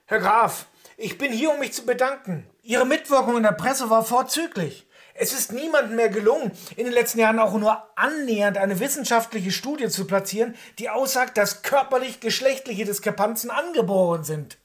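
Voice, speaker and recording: A man's voice: extremely high pitch, normal speed, fairly bright tone. An actor playing a polite, deferential male doctor, speaking courteously and formally in an audio drama. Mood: courteous, purposeful. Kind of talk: German audio drama acting.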